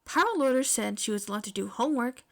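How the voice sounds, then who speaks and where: A woman narrates a piece of fan fiction in a fairly high voice. Her scripted delivery is expressive, in the manner of an audiobook reader. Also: fast, creaky. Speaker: podfic narrator.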